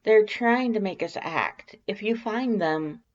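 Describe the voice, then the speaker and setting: A man's voice: high-pitched, slow pace, dull audio, pitched above the performer's own voice. A man voicing a nervous, earnest female monster who stammers and speaks urgently in an audiobook-style story. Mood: urgent, indignant.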